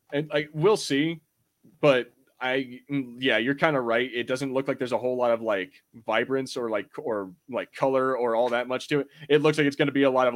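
A man with a medium-pitched voice talks as a podcast host in casual conversation. He rambles loosely and irreverently, dropping swear words as he goes. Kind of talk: casual chat about fantasy film and TV.

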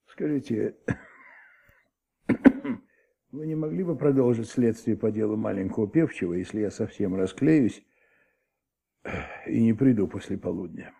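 A man's voice: on the low side, measured pace, creaky, pauses often, dark tone, breathy edge, exaggerated voice. An actor playing a brooding, stubborn police commissioner in a radio play, muttering his questions as he ponders aloud. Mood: weary, businesslike. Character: brooding middle-aged French police commissioner, stubborn detective. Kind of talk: Soviet radio play acting.

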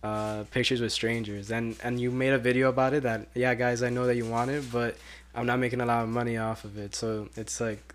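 A man's voice: medium pitch, flat delivery, a little fast. A man hosting a casual podcast interview, asking curious questions with hesitant fillers. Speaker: podcast host.

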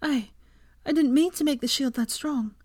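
A woman narrator puts on a modest teenage witch from a storybook. The voice is lifted higher and kept soft and tentative, in a dry recording. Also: expressive, fairly quick, dry recording. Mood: shaken, subdued. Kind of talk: audiobook character voice.

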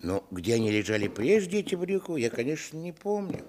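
A man acting as the reflective narrator of a radio play, recalling a village boyhood in lyrical, measured storytelling. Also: fairly high pitch, big pitch swings, background noise. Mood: sly, confiding. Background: music.

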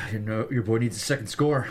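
A man's voice: fairly low pitch, normal speed, lightly acted. A man playing a blunt, matter-of-fact young surf teammate at a table read. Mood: terse, urgent.

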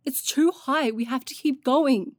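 A woman playing an impulsive, stubborn young traveler in an audio drama, casual and quick to argue. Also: high-pitched, no room sound, studio quality. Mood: frustrated, urgent. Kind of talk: naturalistic audio drama acting.

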